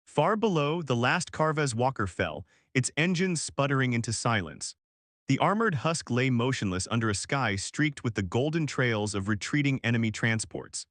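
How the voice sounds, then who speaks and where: Guy narrating a sci fi war story, formal measured read, medium pitch, super dry sound. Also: lively intonation, fairly bright tone, no echo. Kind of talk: scripted narration of a science fiction war story.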